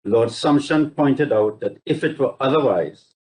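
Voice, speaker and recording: A man speaking as a barrister in a formal, measured and courteous legal submission, reading carefully from judgments over a video link. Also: medium pitch, a little mellow, poor audio. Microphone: video call.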